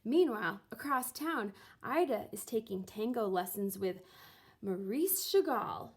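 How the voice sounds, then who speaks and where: A woman reading a children's book aloud expressively, with theatrical, deliberate phrasing and drawn-out words. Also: mid-range voice, animated, slightly rough. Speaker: reader of a children's picture book, teacher. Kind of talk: expressive storybook read-aloud.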